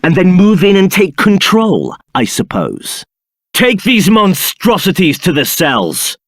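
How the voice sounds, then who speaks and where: An actor playing an arrogant, contemptuous templar captain in a video game, with a haughty, clipped, sneering delivery. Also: male voice, high voice, huge pitch swings, slow, no room sound, clean studio sound, heavily acted. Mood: interrogating, menacing. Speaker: game character voice.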